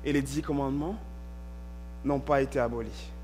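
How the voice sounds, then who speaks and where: A male pastor preaching a sermon in a church, stressing key words and drawing them out, at times rising to a shout. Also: medium pitch, fairly even intonation, normal speed, a little hiss or hum, low-quality recording.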